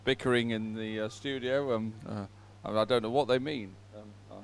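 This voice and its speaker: A man giving unscripted live sports commentary in a halting, wry, self-deprecating way. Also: lowish voice, fairly even intonation, clean tone.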